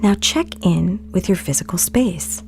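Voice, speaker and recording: Creaky, serene meditation guide. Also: female voice, on the low side, faint music behind. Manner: calm, soothing, deliberate. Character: serene film-star guest meditation guide, a woman, soothing and earnest. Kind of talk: guided meditation in an audio play.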